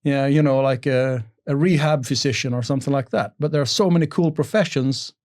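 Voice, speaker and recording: A man's voice: medium pitch, a little fast, a little mellow. A man talking as a podcast guest in an upbeat, enthusiastic way about his own work. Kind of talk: casual interview chat.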